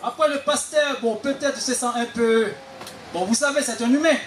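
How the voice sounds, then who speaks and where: Prédicateur haïtien à la voix très haut perchée. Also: male voice, extremely high pitch, normal speed, roomy sound, background noise. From Haiti. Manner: exhortatory, punctuates phrases with amens. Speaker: guest preacher. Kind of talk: sermon with call and response.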